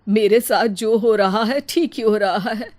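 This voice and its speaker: A woman's voice: medium pitch, measured pace, darkish tone, close to an everyday voice. A woman voicing a frightened, remorseful younger brother in a moral story, pleading emotionally.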